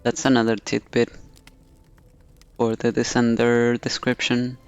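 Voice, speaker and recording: Smooth-voiced man streaming a game. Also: medium pitch, monotone, unhurried, faint music behind. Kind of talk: reading game text aloud and commenting.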